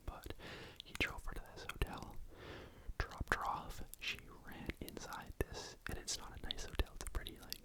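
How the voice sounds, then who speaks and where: A person telling a true crime story in a breathy, unvoiced ASMR whisper, with a casual, conversational manner. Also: rough recording. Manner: casual, conversational retelling with repetition.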